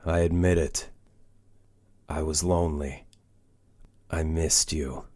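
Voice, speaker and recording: An actor playing a brooding, guarded older masked crime-fighter in a comic dub, with a grave, measured delivery. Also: male voice, a bass voice, fairly even intonation, mellow, dry recording, heavily acted. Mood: regretful. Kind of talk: comic dub dramatic acting.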